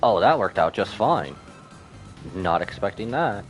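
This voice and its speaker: Low-voiced woman livestreamer, wry and playful. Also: very animated, unhurried. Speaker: game livestreamer. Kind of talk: casual reaction commentary. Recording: game music behind the voice. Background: video game music.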